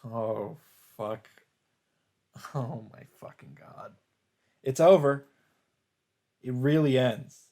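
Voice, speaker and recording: A man doing a let's play, reading a visual novel aloud as dramatic narration in halting phrases, with some off-the-cuff reactions. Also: mid-range voice, warm tone. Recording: music behind the voice. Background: game soundtrack music.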